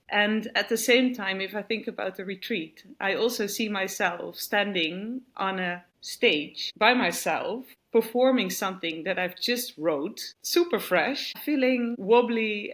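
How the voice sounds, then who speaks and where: A woman's voice: mid-range voice, a bit bright. A woman, reflecting on a retreat in an interview, searching for words with frequent restarts. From Netherlands. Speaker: retreat participant.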